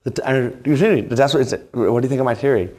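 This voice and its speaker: A man's voice: medium pitch, quick pace, mellow. A man hosting a cooking show, with a South African accent, asking playfully naive questions and joking with his guest. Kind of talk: comic banter on a cooking show.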